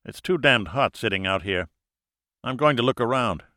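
Narrator guy as chill capable husband, deadpan n understated, dry close sound, audiobook. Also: mid-range voice, normal speed, no echo. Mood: casual, confident. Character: laconic, casual, capable American husband and scientist.

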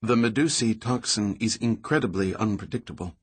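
A man's voice: fairly low pitch, a little fast, husky. A man reading as a guarded, tired woman scientist. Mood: measured. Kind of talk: audiobook dialogue reading.

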